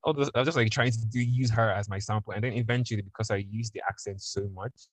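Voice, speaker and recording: A man's voice: on the low side, fast, no room sound. A young man from Nigeria with a Nigerian accent, telling a story in a casual podcast chat and acting out the voices of others. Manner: animated, acts out voices in quotes. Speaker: podcast guest.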